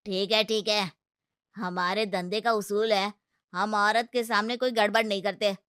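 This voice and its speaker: A woman voicing a threatening male gangster debt collector with a swaggering, drawn-out delivery in a cartoon story. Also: mid-range voice, steady tone, a bit bright, clean tone, dry recording, studio quality, close to an everyday voice.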